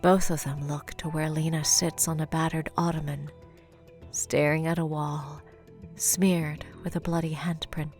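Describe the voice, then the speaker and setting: Female narrator for dark audio drama, played by a woman: very low voice, solemn, measured, over a music bed. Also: level tone. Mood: grave, suspenseful. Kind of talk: scripted dramatic narration, present tense.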